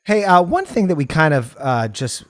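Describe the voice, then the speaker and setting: Higher-pitched man, blunt podcast chatter. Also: big pitch swings, normal speed. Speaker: podcast co-host. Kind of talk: casual comedic TV recap chat.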